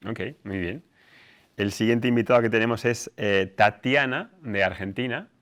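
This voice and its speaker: A man hosting and introducing a student discussion, explaining things informally and somewhat haltingly. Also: fairly low pitch, fairly bright tone. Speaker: host, teacher.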